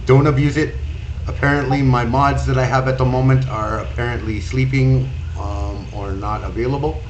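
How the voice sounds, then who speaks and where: A man in his forties hosting a livestream, reading chat aloud in a slow, relaxed way with many fillers. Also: mid-range voice, muffled recording, a little hiss or hum. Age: 40s. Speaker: streamer host. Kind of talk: casual livestream talk.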